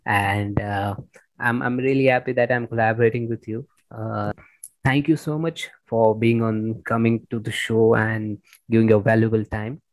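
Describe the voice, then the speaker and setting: A man's voice: lowish voice, fairly even intonation, moderate pace, no room sound. A man hosting a conversational interview, often repeating his words and phrases as he goes. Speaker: podcast host interviewing a guest.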